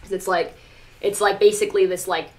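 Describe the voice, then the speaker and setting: A woman's voice: medium pitch, fairly even intonation, brisk, poor audio. A woman live streamer talking casually to her viewers, rambling informally with lots of "like" and some mild swearing. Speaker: live streamer, music producer.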